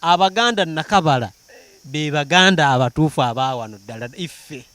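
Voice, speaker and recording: A man's voice: fairly high pitch, lively intonation, a bit bright. A man with a Ugandan accent, hosting a casual, teasing interview chat. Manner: playful, teasing, quick to laugh.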